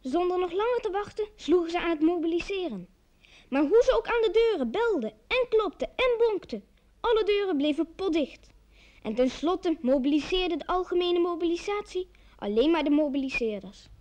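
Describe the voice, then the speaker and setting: A woman's voice: very high-pitched, animated, normal speed, darkish tone. A woman playing the storyteller, narrating a scripted comic tale in a radio play. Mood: storytelling. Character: female storyteller relating a comic village tale.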